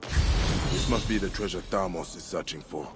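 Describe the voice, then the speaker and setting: An actor playing a stern, steady ancient Egyptian warrior and protector in a video game, speaking in a measured, solemn way. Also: male voice, low-pitched, very noisy, lightly acted. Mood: calm, curious. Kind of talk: dramatic video game acting. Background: loud music.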